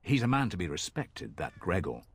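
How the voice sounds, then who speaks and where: A man's voice: low-pitched, sing-song, moderate pace, husky, no echo, heavily acted. An actor playing a plain-spoken, folksy commoner ferryman in a fantasy video game, chatting in rustic, colloquial speech. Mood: earnest, respectful.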